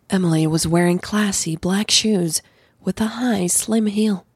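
A woman podcast host telling a story in a slow, suspenseful, intimate manner. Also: medium pitch, a little mellow, husky, no room sound. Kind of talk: dramatic audio story narration and podcast chat.

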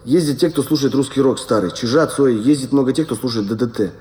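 An actor playing a detached observer, narrating an audio play from off mic in an echoey room. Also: male voice, mid-range voice, quick pace, mellow, far from the mic, natural voice. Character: detached observer narrating small everyday bus movements.

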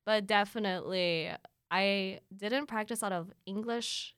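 A woman's voice: mid-range voice, a little slow, fairly bright tone, clear, resonant tone, no echo. Young woman from the philippines, podcast guest, talks a lot, dry close sound, lots of likes and ums. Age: young adult. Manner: friendly, talkative, frequent likes and ums. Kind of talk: casual conversational interview.

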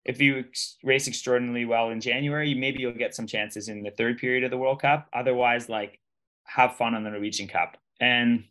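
A man's voice: medium pitch, steady tone, brisk, a bit bright. A man hosting a casual sports recap podcast, talking in an emphatic, colloquial, rambling way and often repeating himself. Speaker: podcast host and ski racing commentator.

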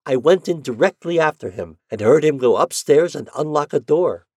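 An eager young errand boy reporting earnestly in a raised, lively voice, as a man puts him on. The recording is dry and close. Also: on the high side, normal speed, husky, no echo, pitched above the performer's own voice.

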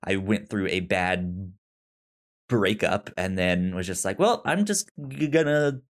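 A man co-hosting a podcast, talking casually about film in a halting, rambling way with many restarts. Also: low-pitched, expressive. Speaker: podcast co-host.

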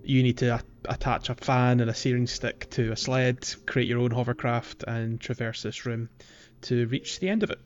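A man's voice: medium pitch, normal speed, lo-fi sound. A man co-hosting a casual gaming podcast, walking through game puzzles in a chatty way and laughing often. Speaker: podcast co-host.

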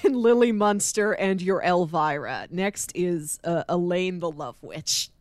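A woman co-hosting a podcast in casual chat, speaking in an enthusiastic, expressive way. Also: fairly low pitch, measured pace, no room sound. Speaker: podcast co-host. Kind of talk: casual chat with read-out film pitches.

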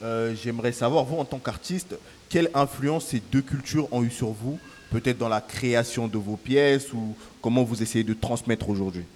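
A man's voice: medium pitch, normal speed, darkish tone, long reverb tail, slight background noise. A man interviewing a guest live at a festival, polite, asking long questions. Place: outdoor cloister at a festival.

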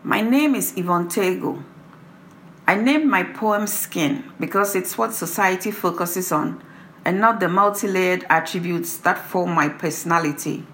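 A woman poet presenting and reciting her own work in a deliberate, emphatic delivery. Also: lowish voice, fairly bright tone, a little hiss or hum. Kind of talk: introducing and reciting own poem.

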